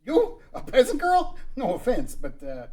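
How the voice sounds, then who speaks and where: A man's voice: extremely high pitch, wildly swooping pitch, a little slow, dark tone, full character voice. A man playing a blunt, haughty, hot-headed female Zora warrior in an amateur fantasy table read. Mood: dismissive. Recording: distant from the mic.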